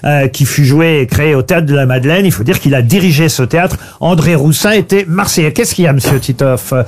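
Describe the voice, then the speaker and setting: A man's voice: on the high side, a little fast. A man hosting a radio panel show, quizzing and teasing his guests.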